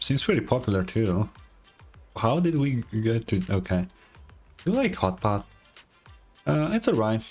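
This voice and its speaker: A man's voice: mid-range voice, a little mellow, slightly rough. A man streaming a video game with casual, informal commentary and a wry, reactive manner. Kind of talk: casual let's-play commentary. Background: game music.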